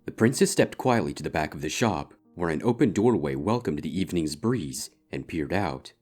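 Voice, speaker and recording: Deep-voiced man narrating a story. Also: expressive, normal speed. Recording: music bed behind the voice. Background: soft instrumental guitar music.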